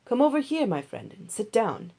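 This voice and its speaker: A woman's voice: a little high, wildly swooping pitch, moderate pace, vocal fry, dark tone, slightly rough, pitched above the performer's own voice, full character voice. A woman voicing an earnest, decisive male landowner in a Bible storybook, speaking formally and declaratively. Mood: businesslike.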